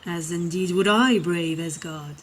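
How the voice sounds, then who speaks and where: A woman's voice: on the low side, measured pace, a little hiss or hum, close to an everyday voice. A woman playing a solemn, courteous, mystical cleric in a fantasy comedy audio drama, speaking formally and earnestly. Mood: earnest, diplomatic.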